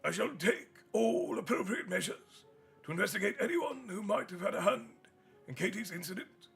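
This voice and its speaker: A man's voice: sits high, animated, vocal fry, a bit bright, voice pushed up, full character voice. A man putting on a wise old headmaster voice. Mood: measured. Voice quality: measured, courteous. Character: wise elderly male headmaster, calm and gently authoritative. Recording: faint music behind.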